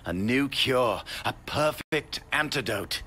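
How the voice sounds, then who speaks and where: A man voicing a weary, obsessive scientist in a video game, murmuring in a slow, grave and gravelly way. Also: medium pitch, lively intonation, bright, forceful tone, exaggerated voice. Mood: intense. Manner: slow, deliberate, brooding. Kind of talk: video game cutscene voice acting. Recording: music behind the voice.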